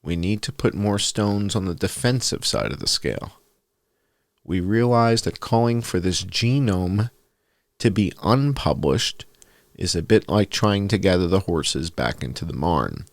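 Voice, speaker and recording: A man with a low voice narrates a written piece as bedtime reading. His pitch swings widely as he goes, and the sound is close and dry, with very little room. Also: very animated, unhurried, dry recording. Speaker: host reading an essay aloud. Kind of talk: scripted narration, read aloud as bedtime story.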